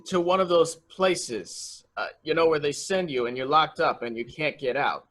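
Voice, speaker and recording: A man playing a childlike, simple and excitable young man in a murder mystery reading, rambling and repeating himself innocently. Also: fairly high pitch, moderate pace. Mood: anxious, naive. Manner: guileless, rambling, repetitive.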